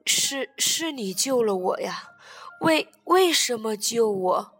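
Woman playing a blustering male T. rex, stammers, slow drawn-out delivery, high and very lively, reverberant room, soft music under. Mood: timid. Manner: stammering, gleeful taunting cackles. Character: big blustering male T. rex bully, softening. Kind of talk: children's audio story acting.

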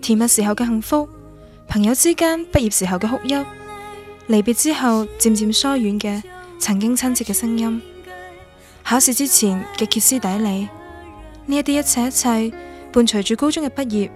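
An actress as a young female student with a fairly high voice and Guangdong Cantonese accent, narrating a radio drama. She is wistful and literary, reflecting on first love over a music bed. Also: moderate pace, a little mellow, lightly acted.

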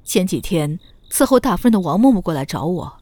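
A woman's voice: fairly low pitch, big pitch swings, a little fast, dark tone, no room sound. A woman playing an affectionate but firm older grandmother and mother-in-law in a Chinese period audio drama, motherly and lightly scolding. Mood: stern. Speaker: voice actor in an amateur audio drama.

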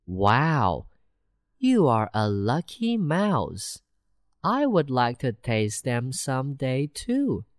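A woman's voice: extremely low pitch, no echo, studio quality, full character voice. Wide-eyed country mouse, as a woman reads it. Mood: amazed. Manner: slow, wide-eyed, gently excited. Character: simple, cheerful country mouse. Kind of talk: children's storybook reading.

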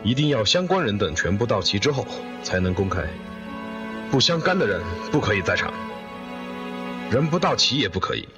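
A man playing an elderly, authoritative company chairman in an audio drama, speaking in a measured, serious way. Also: lowish voice, very animated. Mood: grave, measured. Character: elderly company chairman, deliberate, drawing up his will. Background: loud background music.

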